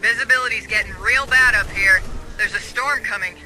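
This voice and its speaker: An actor playing a wry military pilot on air support in a video game, with breezy, slangy banter. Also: male voice, high-pitched, normal speed, bright, forceful tone, a little hiss or hum, low-quality recording.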